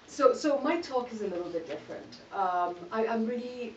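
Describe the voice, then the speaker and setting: A woman's voice: a little high, lively intonation, normal speed, darkish tone, roomy sound. Brief: middle-aged woman from Malawi, candid guest speaker, distant mic, dull noisy sound. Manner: candid, self-mocking, laughs often. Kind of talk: conversational talk to an audience. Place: event hall.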